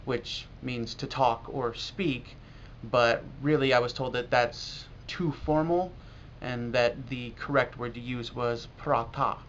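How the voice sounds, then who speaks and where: An American man talking casually and reflectively in a video blog as a language learner, halting now and then with pauses. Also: medium pitch, fairly even intonation, a bit bright. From United States. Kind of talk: casual talk to camera about learning. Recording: dull lo-fi audio with clear noise.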